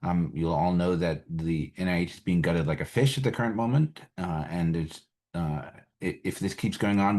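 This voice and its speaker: A man's voice: deep voice, a little mellow, slightly rough. A man speaking informally as a public health activist, urging people to get involved. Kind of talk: informal rally speech.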